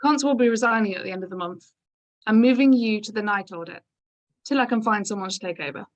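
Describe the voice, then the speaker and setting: A woman playing an abrupt yet jaunty hotel manager in her fifties, brisk and breezily dismissive, in a script reading. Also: mid-range voice, muffled recording, lightly acted. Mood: brisk, breezy. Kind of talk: script table-read acting.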